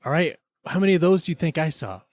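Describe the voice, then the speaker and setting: A man's voice: fairly high pitch, lively intonation, quick pace, darkish tone, dry recording. A man hosting a podcast, moving between casual banter and playful, mock-serious scripted presenting. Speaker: podcast host.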